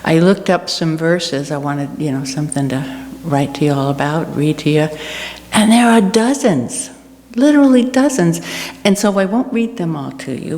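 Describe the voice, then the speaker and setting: A woman giving an earnest, deliberate personal reflection to a church congregation. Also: low voice, darkish tone. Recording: echoey hall with clear background noise.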